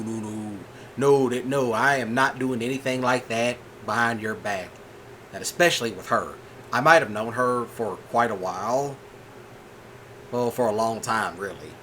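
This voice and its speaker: A man voicing a calm, patient rancher boyfriend in a soft-spoken ASMR roleplay, folksy and reassuring. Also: mid-range voice, slight background noise, natural voice.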